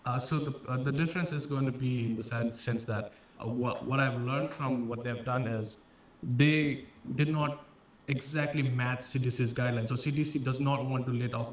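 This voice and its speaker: A man with a Nepali accent speaks as a startup founder presenting his pitch. His delivery is halting, with repeated and restarted phrases. The recording is echoey and a little distant, with faint noise behind him. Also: fairly even intonation. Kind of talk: pitch presentation and answering questions.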